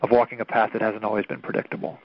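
Minister, late thirties, from Oklahoma; earnest, reflective interview guest, dull radio sound. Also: male voice, lowish voice, level tone, dry recording. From Oklahoma, United States. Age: 30s. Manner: earnest, thoughtful, measured storytelling.

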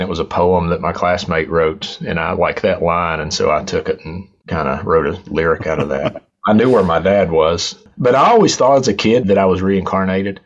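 A man, a singer-songwriter guest telling stories from his youth in a reflective, rambling way. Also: low voice. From southern West Virginia. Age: 60s. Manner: reflective, rambling, chuckles between thoughts. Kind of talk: radio interview, storytelling. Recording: dull, muffled lo-fi sound.

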